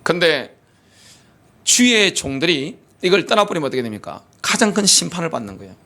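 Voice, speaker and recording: A man preaching in a church with a high, bright voice that rises and falls a lot. He keeps asking the congregation rhetorical questions and drives each point home with emphasis. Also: big pitch swings, fairly quick. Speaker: pastor. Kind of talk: sermon. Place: church sanctuary.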